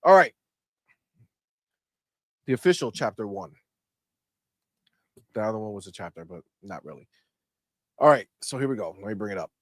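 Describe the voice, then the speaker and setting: A stuffy-nosed man co-hosting a gaming stream, his pitch jumping all over as he jokes and swears. He sounds close to the mic in a dry room with no echo. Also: moderate pace, pauses often. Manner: animated, swears freely, bursts of laughter. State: stuffy nose. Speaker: gaming podcast co-host. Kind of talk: casual live-stream chat.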